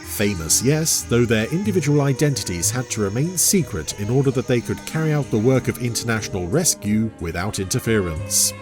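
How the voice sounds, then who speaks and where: A man's voice: mid-range voice, sing-song, brisk, few pauses, music in the background. A man narrating a scripted adventure story in a dramatic storytelling style.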